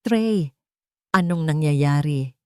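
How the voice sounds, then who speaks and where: A woman's voice: low-pitched, lively intonation, slow pace, smooth, dry recording, clean studio sound. A woman voicing a domineering, suspicious husband in a story, agitated and insistent. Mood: panicked.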